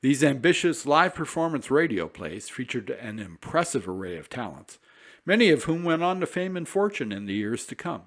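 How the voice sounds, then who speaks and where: A man reading a scripted introduction in a lively, formal way. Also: medium pitch, normal speed. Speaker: program presenter.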